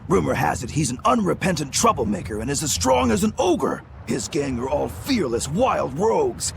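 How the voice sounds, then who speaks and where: Gravelly, cowardly demon sailor. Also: male voice, fairly high pitch, big pitch swings, normal speed, background noise, full character voice. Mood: awed warning. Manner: dramatic, nervously boastful. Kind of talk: English video game voice acting.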